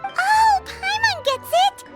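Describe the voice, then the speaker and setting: A woman voicing a tiny, childlike female fairy companion in an anime game, perky, inquisitive and squeaky. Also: animated, takes it slowly, full character voice. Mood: curious. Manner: perky, inquisitive, refers to self in third person. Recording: background music throughout.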